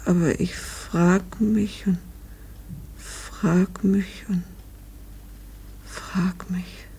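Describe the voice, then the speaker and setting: An actress playing a devoted, grieving middle-aged wife in a 1950s German radio drama, her voice breathy and hushed, her phrases halting. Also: on the low side, fairly even intonation, in a whisper, heavily acted, poor audio. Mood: numb grief. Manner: slow, halting, repeating phrases.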